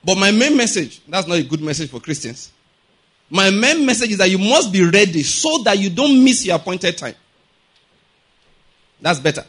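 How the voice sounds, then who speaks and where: A man's voice: high voice, very animated, normal speed, long pauses, a bit bright, husky. A middle-aged man preaching a sermon, emphatic and often checking that listeners follow. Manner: emphatic, keeps asking "are you getting my point?".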